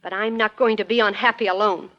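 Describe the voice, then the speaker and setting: Actress as hurt, lovesick magician's assistant. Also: female voice, medium pitch, steady tone, fast talker, lo-fi sound, natural voice. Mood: resentful. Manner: earnest, aggrieved. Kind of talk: 1940s radio acting.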